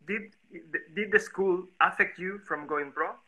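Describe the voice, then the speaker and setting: A male interviewer with a very bright, sharp, slightly high voice, a native Spanish speaker. He puts questions in halting English in an informal online interview, often searching for the right word. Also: slightly rough, rough recording. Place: video call on social media live stream. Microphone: video call.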